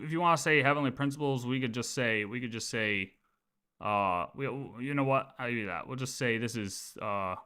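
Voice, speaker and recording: A man's voice: mid-range voice, sharp tone. A man doing casual live commentary as a streamer, arguing emphatically and repeating his points. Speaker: livestream host reacting to game lore.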